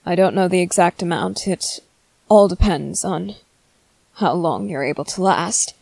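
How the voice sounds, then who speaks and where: A woman acts out a male vampire commander for an ASMR roleplay, low and very slow, with formal, halting courtesy. The voice has a gravelly fry and sits close and dry, as hunger presses against careful self-restraint. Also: darkish tone, no room sound. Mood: strained, pleading. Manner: formal, halting, measured, apologetic. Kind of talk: scripted ASMR roleplay monologue.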